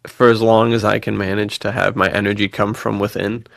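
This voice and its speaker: A man's voice: on the low side, brisk, creaky, dry recording, clean studio sound. A man co-hosting a podcast in casual chat, telling slangy personal anecdotes with quoted bits of dialogue. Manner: slangy, animated storytelling with quoted dialogue and frequent laughter. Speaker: podcast co-host.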